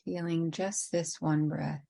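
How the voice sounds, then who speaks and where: A woman leading a guided meditation in an unhurried, gently inviting way with long pauses. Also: sits low, flat delivery, creaky.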